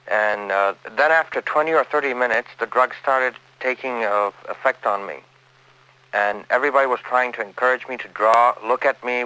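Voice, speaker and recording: A man recounting a personal experience in an interview, in a hesitant, halting way. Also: medium pitch, bright, forceful tone, low-quality recording. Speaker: artist interviewee.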